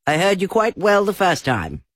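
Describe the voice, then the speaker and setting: A man's voice: high voice, huge pitch swings, moderate pace, a bit bright, no room sound, studio quality, voice pushed up, heavily acted. A man voicing a fussy, cautious male rabbit who talks in a prim way.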